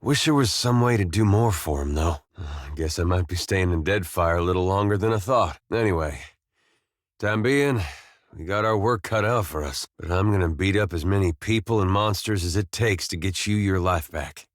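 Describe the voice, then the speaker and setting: A deep male voice, recorded very dry, a man acting out a farmer turned adventurer in a video game. He is gentle and loyal, with some weariness of the world in him. He talks plainly and folksily, understating things with hesitant, dry humor. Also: normal speed, no room sound, close to an everyday voice. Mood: troubled, thoughtful, then resolute, warm. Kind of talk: video game companion dialogue.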